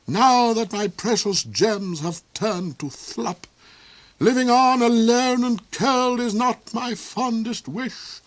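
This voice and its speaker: A man's voice: very high-pitched, takes it slowly, pitched above the performer's own voice. A man voicing a cold, cruel duke who roars and sneers theatrically. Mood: roaring defiance.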